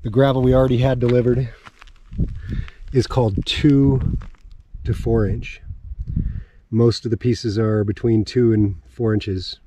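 A man's voice: mid-range voice, level tone, measured pace, pauses often, mellow, a little hiss or hum. A man in his forties giving a casual walk-around vlog outdoors on open dry land, explaining his plans as he points things out. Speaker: homesteading vlogger.

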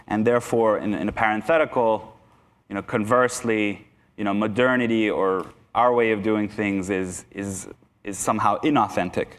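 A young man from Iran giving a conversational lecture to teachers in a conference hall, speaking into a lapel mic with many fillers and restarts. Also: on the low side. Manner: conversational, self-deprecating, frequent restarts and fillers. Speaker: guest speaker. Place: conference hall, indoors, large.

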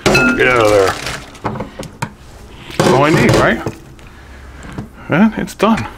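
An older man talks through a meal he is making in his home kitchen, casual and upbeat, chuckling as he goes. His voice is of middling pitch, picked up on a clip-on mic. There is a clear hum of noise behind him. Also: sing-song, slow pace. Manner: chatty, upbeat, chuckling often. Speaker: cooking vlogger. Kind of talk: casual cooking demo. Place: home kitchen, then pickup truck. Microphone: lapel mic.

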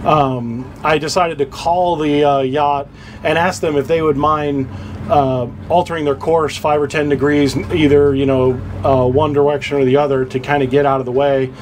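A man's voice: a little high, flat delivery, normal speed, a little hiss or hum. A man hosting a boating channel, casually explaining equipment in a rambling way with lots of fillers and restarts, recorded on a boat. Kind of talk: hands-on equipment tutorial.

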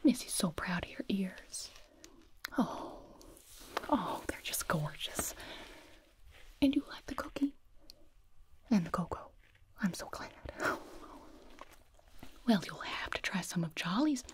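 A woman whispering as a doting, chatty elf mother who fusses sweetly over a guest, in a soft ASMR roleplay close to the mic. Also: medium pitch, expressive, takes it slowly, pauses often. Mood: gushing. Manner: soft whisper, fussing and gushing.

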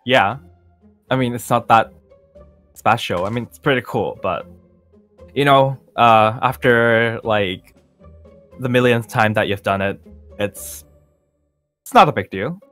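A man playing a laid-back, modest music star in an improvised video game roleplay, talking in halting, understated phrases. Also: medium pitch, a bit bright. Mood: modest, casual.

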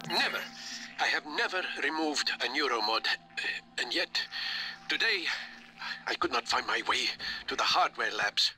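An actor voicing a troubled elderly scientist in a game, confiding in a halting, weary manner. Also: male voice, medium pitch, expressive, sharp tone, soft background music, poor audio. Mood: troubled, confessional. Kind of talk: game voice acting, recorded confession.

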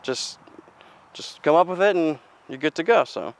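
A man's voice: a little high, expressive, moderate pace, gravelly. A young man, a farmer giving a casual outdoor walkthrough of his equipment, talking plainly with lots of fillers and restarts. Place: outdoors in a farmyard.

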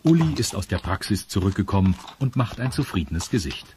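A man's voice: fairly low pitch, sing-song, a little fast, noisy background. A man narrating a children's audio drama from a script.

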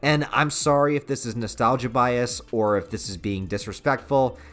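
A man's voice: mid-range voice, moderate pace, a bit bright. Enthusiastic American man reviewing over music. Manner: informal, enthusiastic, peppered with slang and swearing. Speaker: video reviewer. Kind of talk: casual, opinionated movie review narration. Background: background music.

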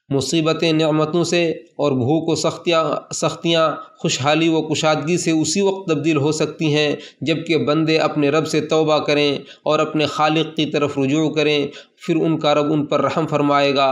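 A man reading a translated Friday sermon aloud in a formal, steady recitation, addressing the listeners as servants of God. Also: fairly high pitch.

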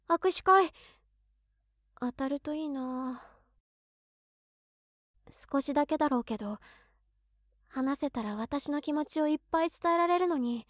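An actress playing an overworked, excitable office woman in her twenties who is a devoted fan, musing aloud expressively in a voice drama. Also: high voice, no echo, studio quality. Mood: wistful, dreamy. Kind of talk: Japanese situation voice drama monologue.

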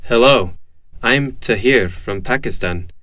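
A man reading scripted lines for a language lesson in a plain, measured way. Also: medium pitch, a bit bright, no echo. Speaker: dialogue reader.

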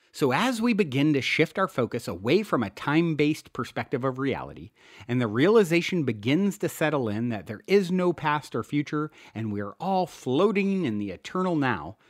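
A man reading a self-help book aloud as scripted narration, with emphatic, deliberate phrasing and drawn-out key words. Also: a little high, no echo, studio quality. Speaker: podcast host and author reading own book. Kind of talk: scripted audiobook-style narration.

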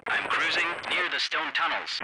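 An actor playing a patrol cop in a video game, giving terse, procedural reports over police radio. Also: male voice, a little high, expressive, moderate pace, sharp tone. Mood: urgent duty. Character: male patrol cop reporting car chases. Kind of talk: scripted police radio chatter. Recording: noisy car police radio.

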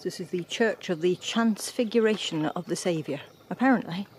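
A woman's voice: lowish voice, normal speed. Chatty woman, noisy outdoor recording. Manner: chatty, informal. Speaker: travel vlogger, sailor. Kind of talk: casual travel commentary. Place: outdoors at harbours and old ruins. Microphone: handheld camera microphone. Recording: clear outdoor background noise, camera audio.

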